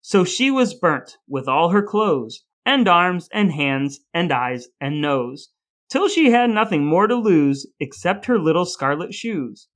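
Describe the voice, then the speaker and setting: A man's voice: high voice, big pitch swings, fairly bright tone, exaggerated voice. Man putting on a theatrical storybook narrator of grim rhymes. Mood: mock dread. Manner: theatrical, measured, emphatic. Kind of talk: dramatic verse reading.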